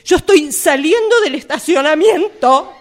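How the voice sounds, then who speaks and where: Radio play role: indignant female motorist, acted by a woman, high voice, measured pace, insistent and argumentative. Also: expressive. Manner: protesting, insistent, curtly polite. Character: indignant, argumentative female driver stopped by an officer. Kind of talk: radio theatre workshop monologue.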